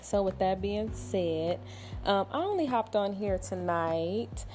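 A woman from Ohio hosting her own podcast at home late at night, talking casually in a soft, smooth voice with lots of fillers and small laughs. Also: mid-range voice, takes it slowly, music in the background. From Cincinnati, Ohio. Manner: relaxed, chatty, with frequent fillers and soft laughs. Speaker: podcast host. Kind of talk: casual solo podcast talk.

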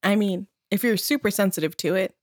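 Brief: young female podcast co-host, mid pitch, playful banter, close dry studio mic. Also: a little fast, dry recording. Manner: jokey, relaxed banter. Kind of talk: casual chat.

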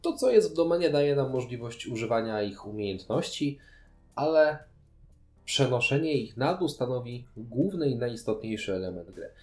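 A man's voice: medium pitch, animated, normal speed, reverberant, soft background music. A man co-hosting a board game podcast, explaining things in detail in a conversational way. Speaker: podcast co-host. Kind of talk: board game review chat.

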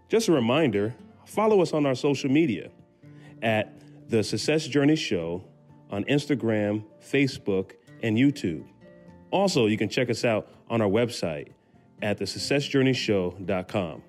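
A man's voice: mid-range voice, lively intonation, moderate pace, darkish tone. A man reading a scripted promotional announcement for a podcast. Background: guitar music.

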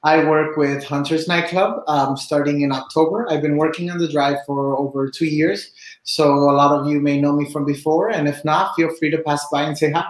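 A man's voice: on the high side, fairly even intonation, moderate pace, roomy sound, rough recording. A young man from Cuba, a bartender and real estate agent, chatting in an upbeat way with many fillers in an interview. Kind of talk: casual interview answers.

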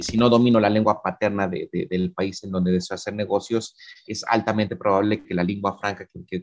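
A middle-aged man, a professor talking things through in a relaxed discussion, with frequent restarts and fillers. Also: lowish voice. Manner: halting, many repeated words and fillers. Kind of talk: conversational academic discussion.

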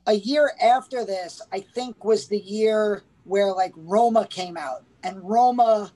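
A woman's voice: medium pitch, takes it slowly, mellow, low-quality recording. Hesitant woman reviewing a film. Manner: hesitant, many restarts. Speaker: podcast co-host. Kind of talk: casual movie review chat.

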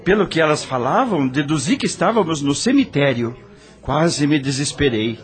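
An actor playing a dead rock star in a radio drama, tormented and regretful, with a solemn, theatrical Brazilian delivery. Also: male voice, on the high side, lively intonation, moderate pace, close to an everyday voice. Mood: resigned, suffering, then fearful. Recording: music under the voice.

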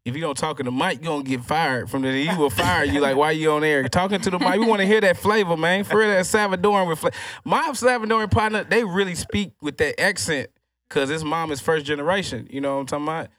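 A man's voice: a little high, fast, a bit bright, no room sound, studio quality. A man, co-hosting a podcast with brash, profane teasing. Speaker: podcast co-host. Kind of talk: casual banter, crude jokes.

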